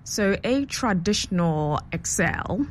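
A woman's voice: mid-range voice, expressive, slow, slight background noise. A woman who grew up in London, a guest on a radio show, explaining her app in a chatty way with many fillers. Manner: chatty, explanatory, many ums and you-knows. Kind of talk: radio interview answers.

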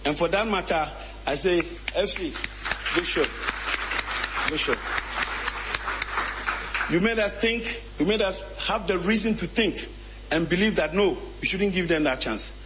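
Coach guy, slightly high voice, slow and earnest thanks. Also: expressive, noisy background. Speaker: football coach. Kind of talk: thank-you speech.

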